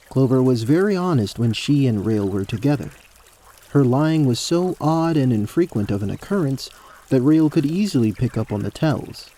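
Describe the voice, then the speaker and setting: A man's voice: mid-range voice, warm tone. A man delivering scripted narration for a fantasy audio drama as its storyteller.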